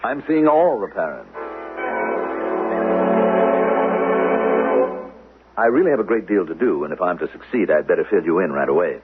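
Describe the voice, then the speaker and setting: An actor playing an officious, probing teacher and suspected spy in a 1970s radio drama, formal and needling, with a husky voice. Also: male voice, low-pitched, lively intonation, fast talker, over music. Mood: officious, insistent. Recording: narrow, phone-like old radio sound.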